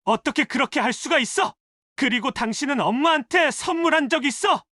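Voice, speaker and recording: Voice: sits high, animated, pushed and bright, no echo, clean studio sound. An actor playing an indignant adult son scolding a spouse with pointed, accusatory questions in a dramatized family story. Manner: accusatory, rapid rhetorical questioning. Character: indignant adult son scolding his wife over his mother.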